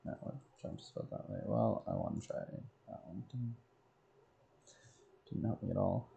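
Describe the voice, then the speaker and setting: A man's voice: low-pitched, normal speed, creaky, dark tone. A man streaming casually, thinking aloud and muttering to himself in short fragments. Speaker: game streamer.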